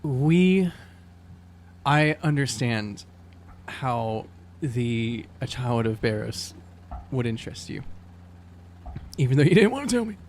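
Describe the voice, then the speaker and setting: A man chats and improvises as a player in a tabletop roleplaying game. His delivery is casual and halting, at a medium pitch, with faint noise behind him. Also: big pitch swings, a little mellow. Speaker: player in an actual-play podcast.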